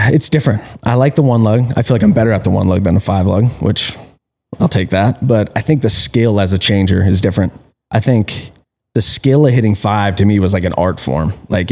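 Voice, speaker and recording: A man's voice: on the low side, moderate pace, darkish tone. A man in his early thirties, a pit crew tire changer, answering questions in an easygoing, laid-back way. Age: 30s. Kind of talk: casual interview.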